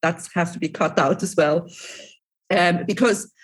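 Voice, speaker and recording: A woman's voice: lowish voice, a little slow, slightly rough. Woman hosting an interview. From Switzerland. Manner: warm, agreeable, chatty. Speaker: interview host. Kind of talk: casual interview chat.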